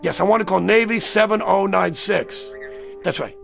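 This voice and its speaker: Male, high gravelly voice, agitated and haunted, insistent drama delivery over music. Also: moderate pace. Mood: frantic. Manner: urgent, pleading. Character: agitated, insistent man haunted by a recurring dream.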